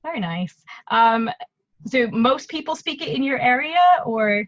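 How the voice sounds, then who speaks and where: A woman hosting a casual interview, chatting in an enthusiastic, lively way. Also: on the high side, a little slow. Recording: dull, dry lo-fi audio.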